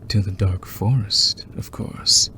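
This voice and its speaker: A man playing a possessive, seductive and menacing werewolf lover in an intimate ASMR roleplay, teasing in a breathy, gravelly murmur. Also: sits low, slow, pushed and bright, a little hiss or hum, full character voice. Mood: menacing, tender.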